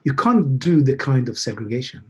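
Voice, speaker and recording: Husky man, musing. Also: mid-range voice, very animated, moderate pace, highs cut off. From Hungary. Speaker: academic guest, behavioral scientist. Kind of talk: thoughtful interview conversation. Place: video call from a computer.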